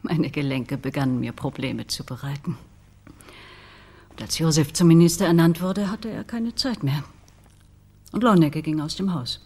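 Husky, composed politician's wife. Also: female voice, low voice, normal speed, long pauses, dark tone. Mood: reserved. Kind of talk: radio crime drama dialogue.

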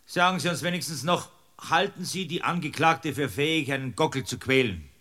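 An impatient male judge in a radio drama, with a forceful, bright voice set a little high. He is brusque and formal, stern and exasperated with those before him. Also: lively intonation, fairly quick. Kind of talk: radio play acting.

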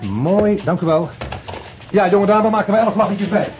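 A man playing a brisk police chief inspector. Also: high-pitched, a little mellow, close to an everyday voice. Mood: methodical. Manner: brisk, commanding. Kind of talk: radio drama acting.

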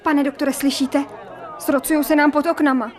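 An actress in a radio play, playing a chattering young housemaid who exclaims in shock. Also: female voice, sits high, little pitch movement, a little mellow, a faint crowd, slight background noise, natural voice. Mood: alarmed. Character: young female housemaid, gossipy and easily shocked.

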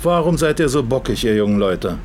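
Casting: male actor as meddling older informer, mid pitch, sharply swinging intonation, faint noise, radio play. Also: expressive, brisk, a little mellow, natural voice. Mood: needling. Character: gruff, prying grey-haired gentleman, menacing informer. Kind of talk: radio drama dialogue.

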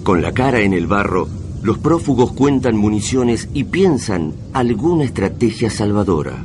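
A man's voice: on the low side, sing-song, moderate pace, noisy background. A man with an Argentine accent narrating a radio drama in a dramatic, scripted style.

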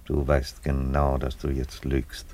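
An actor playing a middle-aged Roman emperor in a German radio play, weary and coolly ironic, with a deliberate delivery. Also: male voice, a bass voice, completely flat delivery, darkish tone. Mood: cool, blunt. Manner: deliberate, unruffled, declarative.

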